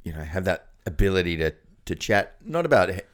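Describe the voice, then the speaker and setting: Deep-voiced laid-back Australian man. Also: big pitch swings, brisk. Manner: easygoing, laughs often, trails off into quiet asides. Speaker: podcast co-host. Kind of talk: casual chat.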